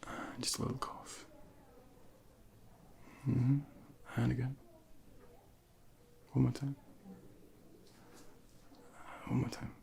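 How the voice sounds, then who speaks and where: A man speaking softly and breathily in an ASMR medical role play as a junior doctor, gently reassuring and giving step-by-step instructions into a close binaural microphone. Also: medium pitch, little pitch movement, slow, long pauses, a little hiss or hum. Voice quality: breathy, whispery, with heavy creak.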